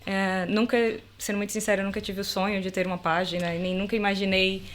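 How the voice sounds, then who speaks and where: A woman's voice: medium pitch, level tone, fast talker, a little hiss or hum. A middle-aged woman from Bahia in Brazil with a Bahian Brazilian accent, a lawyer chatting casually as a podcast guest, filling her talk with little tags. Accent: Brazilian Portuguese, Bahia. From Salvador, Bahia, Brazil. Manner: warm, chatty, many fillers like "né" and "pronto".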